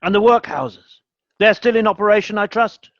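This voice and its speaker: Guy acting a grumpy old miser in a radio play, highish voice, curt and scornful, kinda muffled lo-fi sound. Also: moderate pace, no echo, lightly acted. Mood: sardonic. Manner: curt, scornful, barking orders. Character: cold, grumpy elderly male miser and employer.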